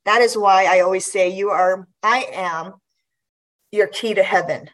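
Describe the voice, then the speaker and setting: A woman's voice: mid-range voice, measured pace, fairly bright tone, poor audio. A woman in her fifties from Guam, chatting casually as an interview guest, laughing often and restarting her sentences. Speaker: guest athlete.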